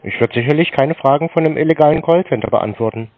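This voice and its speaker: A man answering scam calls with a calm, dry, sarcastic manner. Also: fairly high pitch, quick pace, dull audio, faint music behind. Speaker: scam-baiting call recipient. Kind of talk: recorded phone call.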